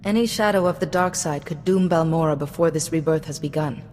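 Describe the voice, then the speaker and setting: An actress playing a calm, authoritative female Jedi diplomat in a video game, speaking in a measured, formal way. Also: low voice, a little hiss or hum, close to an everyday voice. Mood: firm. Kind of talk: video game dialogue acting. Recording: long hall reverb, music behind.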